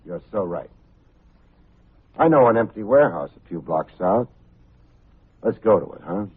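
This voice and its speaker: An actor playing a cool, wry, hard-boiled private investigator who talks and narrates in old-time radio drama style. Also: male voice, on the low side, very animated, slightly rough, exaggerated voice. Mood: firm, pressing. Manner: wry, unhurried, wisecracking. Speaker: actor playing the lead detective, also narrating. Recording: muffled narrow-band old radio recording, faint music.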